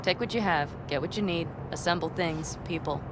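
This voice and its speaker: An actress playing a tough, self-assured nomad mercenary in a video game, brisk, confident and plain-spoken. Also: female voice, on the low side, natural voice. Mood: wry, instructive. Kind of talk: video game dialogue acting. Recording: vehicle and outdoor noise behind.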